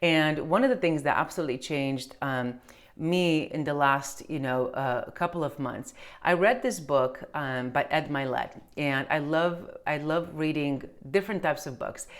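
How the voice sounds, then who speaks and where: Low-pitched woman in her 40s, chatty, lots of ums and restarts. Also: measured pace. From Romania. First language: Romanian. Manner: chatty, many fillers and restarts. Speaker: accountant and business owner. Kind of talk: casual chat to camera.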